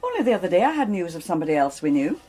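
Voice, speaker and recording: Well-bred wife, husky, very expressive, gently coaxing, radio drama. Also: female voice, fairly low pitch, rapid-fire, faint music behind. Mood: teasing, reassuring. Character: affectionate, teasing, well-bred wife of a policeman. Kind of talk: naturalistic British radio drama acting.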